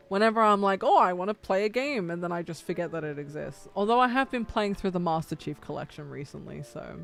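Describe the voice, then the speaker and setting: A woman's voice: fairly low pitch, creaky. Chatty woman streaming a video game. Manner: chatty and animated, reacting to the game and chat. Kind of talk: casual gaming livestream chat.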